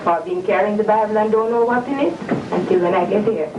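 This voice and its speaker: A woman's voice: mid-range voice, fast. Fervent woman, distant echo. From England. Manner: firm, fervent, preachy. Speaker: interviewee, church member. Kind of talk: earnest testimony on video. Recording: dull, echoey room from far off, noisy.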